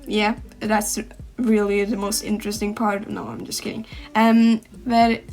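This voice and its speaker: A Norwegian teenage girl with a Norwegian accent, speaking English as a second language and chatting casually to camera with many pauses and ums. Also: medium pitch, little pitch movement, creaky, darkish tone, soft background music. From Norway. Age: teens. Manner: rambling, hesitant, frequent ums. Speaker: art channel host.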